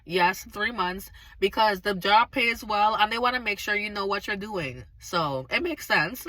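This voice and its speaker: A woman with a raspy, slightly hoarse voice, recovering from being sick, talks earnestly straight to her viewers. Her tone is bright and forceful, and the sound is close and dry with no room echo. She tells her testimony in a casual, emphatic way. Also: mid-range voice, unhurried. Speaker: vlogger sharing a faith testimony.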